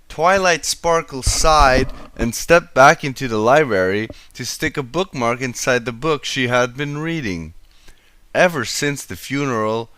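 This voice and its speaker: A man's voice: mid-range voice, pushed and bright, smooth, no room sound. A man narrating a scripted story as an amateur fan fiction reader. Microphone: home microphone.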